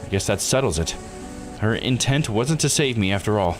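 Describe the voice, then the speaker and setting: A man's voice: lowish voice, expressive, moderate pace, over music, pitched below the performer's own voice, close to an everyday voice. A man voicing a troubled young immortal in a visual novel, speaking with hesitant, questioning uncertainty. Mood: dejected.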